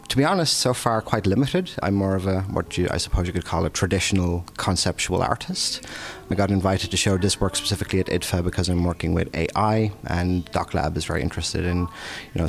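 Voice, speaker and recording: A low-voiced man, an artist in his thirties or forties, talks through his background in an interview. He wanders through his thoughts with plenty of "uh" and "you know," in an easy, conversational way. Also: gravelly. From Irish and Dutch, based in Amsterdam. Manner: reflective, rambling, with "uh" and "you know".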